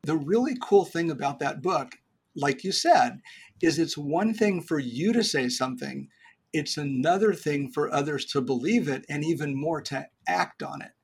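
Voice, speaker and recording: A middle-aged man, a podcast guest, giving business advice in a lively, joking, explanatory way. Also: on the high side, moderate pace, vocal fry, husky. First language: English. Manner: animated, jokey explainer.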